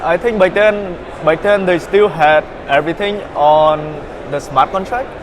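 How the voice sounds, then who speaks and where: A young man from Vietnam, a startup founder, answering interview questions in a halting way with many fillers and repeated words. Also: high-pitched, background noise.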